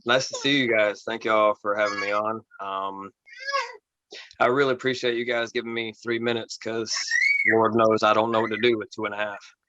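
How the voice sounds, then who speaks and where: Stand-up comic, male, from Florida, low smooth voice, dry dull call audio. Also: normal speed. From Florida, USA. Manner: calm, smooth, self-deprecating delivery. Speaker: stand-up comedy contestant. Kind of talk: stand-up set, then taking feedback.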